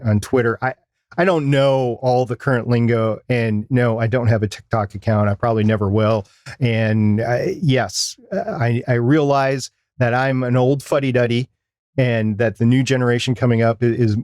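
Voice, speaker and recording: Man, middle-aged, podcast co-host. Medium pitch, plain-spoken casual discussion, dry recording. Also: a little mellow, no echo.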